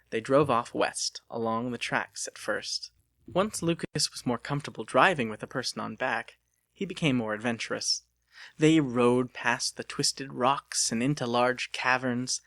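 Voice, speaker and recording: A man's voice: mid-range voice, a bit bright, no echo, studio quality. A man reading an audiobook as a dramatic, scripted story narration.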